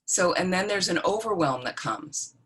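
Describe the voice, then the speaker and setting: Lady w low voice teaching buddhist class on a video call, chatty n reassuring, checks in a lot. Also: lively intonation, moderate pace, a bit bright, slightly rough, poor audio. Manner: conversational, reassuring, checks in with "okay?" and "right?". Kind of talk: informal dharma teaching with questions to participants. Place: online group video call.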